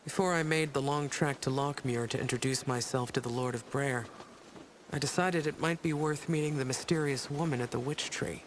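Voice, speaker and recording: A brooding male inquisitor played by an actor narrates his own dark fantasy game story. He is reflective and measured, at a medium pitch, over faint noise and ambience. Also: steady tone, lightly acted, poor audio. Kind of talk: first-person scripted story narration.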